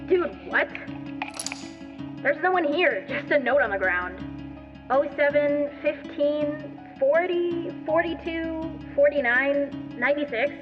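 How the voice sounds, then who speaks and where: A woman's voice: sits high, animated, slow. A young woman playing a casual, chirpy host of a paranormal investigation vlog in an audio drama. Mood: casual, puzzled. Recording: lo-fi video audio with music.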